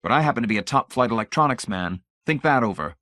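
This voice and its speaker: A man's voice: mid-range voice, animated, quick pace, pushed and bright, no echo, clean studio sound, voice pushed up. A man voicing a blunt, skeptical male electronics expert who grows exasperated. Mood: challenging. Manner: blunt, irritated and challenging. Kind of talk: audiobook character voice.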